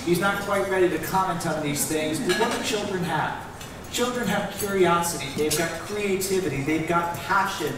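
A man's voice: high-pitched, moderate pace. A middle-aged American man giving upbeat panel remarks, standing and gesturing on stage with a headset mic in a large event hall. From United States. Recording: echoey hall, distant mic, background noise.

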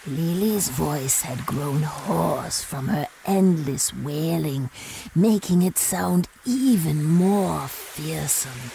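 Slow, eerie woman narrator. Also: low-pitched, creaky, a little hiss or hum, poor audio. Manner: hushed, eerie, slow storytelling. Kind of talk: scripted storybook narration.

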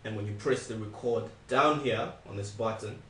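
A young man giving a casual step-by-step software tutorial, speaking informally and repeating his instructions. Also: lowish voice, normal speed, slight background noise. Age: young adult. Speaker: tutorial presenter. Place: small indoor room, not soundproof. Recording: echoey untreated room, distant mic.

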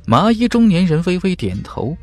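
A man's voice: sits high, big pitch swings, moderate pace. A man reading scripted fantasy narration dramatically as an audiobook narrator. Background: background music.

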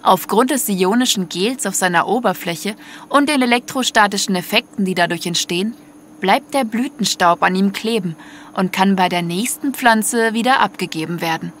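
A woman's voice: medium pitch, normal speed, a bit bright, a little hiss or hum. A woman narrating a scripted audio story in prose. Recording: music under the voice.

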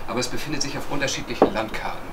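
A middle-aged man talking informally to visitors in a flat. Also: medium pitch, racing. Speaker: photographer. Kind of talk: informal guided talk to visitors. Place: room in a flat. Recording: loud room noise and clicks.

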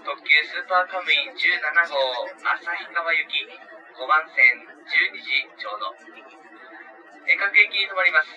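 Very sharp-voiced male train conductor. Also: fairly high pitch, unhurried. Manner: formal, listing platforms and times. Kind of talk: live train connection announcement. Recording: muffled, distant train speaker recording. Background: faint train noise.

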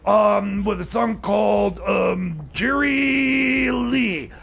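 A bumbling comedy radio DJ with a very high, strained voice full of gravel. He stammers and rambles through his announcements, exasperated and flustered, tripping over fillers. The tone is raspy and far from smooth. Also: very slow, fairly bright tone, shouting, exaggerated voice. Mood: halting, muddled. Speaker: radio DJ and host. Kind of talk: comedy radio theater, bumbling on-air banter.

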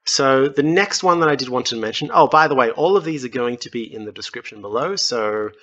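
A man's voice: mid-range voice, animated, a little fast, a bit bright. A young Australian man hosting his own channel, talking casually through a screen-share walkthrough, self-deprecating and full of fillers. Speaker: YouTube host. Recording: slightly dull lo-fi sound.

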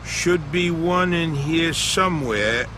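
A brash American gangster with a fairly high voice. He talks like an easygoing street buddy in a crime game, swearing casually, over clearly noisy game ambience and engine sound. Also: takes it slowly. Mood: confident, scheming. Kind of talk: video game voice acting.

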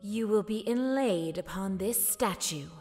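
A woman's voice: mid-range voice, expressive, a little slow, close to an everyday voice. A woman voicing a solemn, menacing female warrior deity who gives commands in an anime comic dub. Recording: long hall reverb, faint music behind.

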